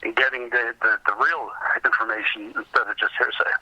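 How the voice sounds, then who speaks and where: Sharp-voiced man telling halting anecdotes, lo-fi. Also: medium pitch, fairly even intonation, husky, no echo. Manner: halting, rambling anecdotal delivery. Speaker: podcast guest, comics researcher. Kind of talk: casual interview storytelling.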